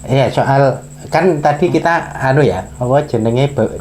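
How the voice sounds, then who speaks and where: Male host with a warm, dark voice and an inquisitive interview manner. Faint background noise. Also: medium pitch, measured pace. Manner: curious, asks follow-up questions, frequent short acknowledgements. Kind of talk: casual chat.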